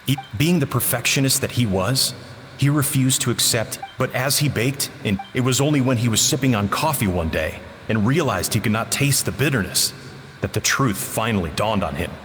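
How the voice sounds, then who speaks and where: A man's voice: medium pitch, animated, moderate pace, a bit bright, big-room sound, slight background noise, close to an everyday voice. An actor playing a regretful man confessing his past, sombre and sighing.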